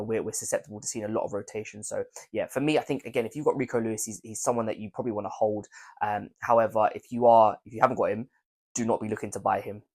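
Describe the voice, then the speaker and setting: A young man with a croaky voice from a cold, chatting casually to his viewers about fantasy football picks and rambling through his reasoning. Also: fairly low pitch. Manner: rambling, repeats words, hedges with "I think". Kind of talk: casual video commentary.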